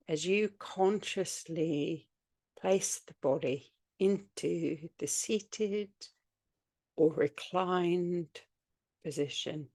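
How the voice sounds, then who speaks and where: A woman with a slightly low, gravelly-edged voice leads a guided meditation. She speaks extremely slowly and soothingly, leaving long pauses between short phrases. The sound is dry and close, with very little room around it. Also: dark tone, no echo. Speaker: yoga nidra guide. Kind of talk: guided meditation, spoken relaxation practice.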